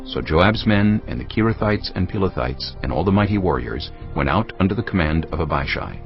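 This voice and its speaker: A man's voice: deep voice, expressive, brisk. A man reading scripted narration for a dramatized audio Bible. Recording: dull band-limited audio with music bed.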